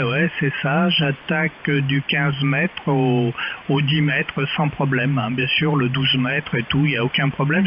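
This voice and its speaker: A man chatting casually as an amateur radio operator, speaking loudly into his microphone over a two-way radio. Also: medium pitch. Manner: loud into the microphone. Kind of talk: casual radio chat with call-and-return turns. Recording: muffled, noisy two-way radio transmission.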